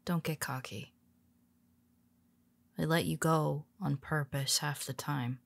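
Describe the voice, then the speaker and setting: A woman voicing a cool, scheming female superhero who quietly confides in her nemesis in an audio roleplay, speaking softly and wryly, often in a whisper. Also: deep voice, takes it slowly, gravelly, exaggerated voice. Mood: confiding. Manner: calm, wry and confiding. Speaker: voice actor.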